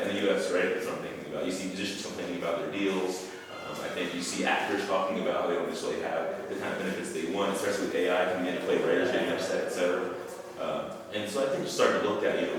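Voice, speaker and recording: A man hosting a podcast interview, talking loosely and thoughtfully with many fillers, recorded at a hackathon venue. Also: sits low. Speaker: podcast host. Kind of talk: casual interview chat. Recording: echoey hall from far off, noisy.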